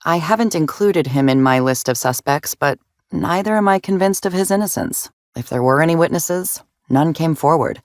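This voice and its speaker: A woman's voice: low-pitched, lively intonation, normal speed, darkish tone, no echo, clean studio sound. A woman playing a weary, dutiful constable in a video game, speaking with formal, deferential courtesy. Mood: earnest, formal. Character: weary, dutiful female colonial constable, polite and anxious. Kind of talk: video game dialogue acting.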